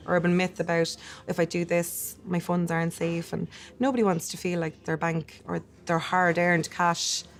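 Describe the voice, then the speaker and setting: A woman working at a bank, calmly talking in an interview about easing customers' fears. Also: lowish voice. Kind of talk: documentary interview.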